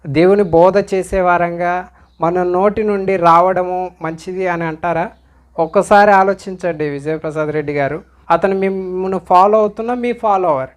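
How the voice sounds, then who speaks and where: Male commentator, speaking straight to viewers, admonishing, full of pointed questions. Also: high voice. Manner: admonishing, questioning, repeatedly urging listeners to reflect.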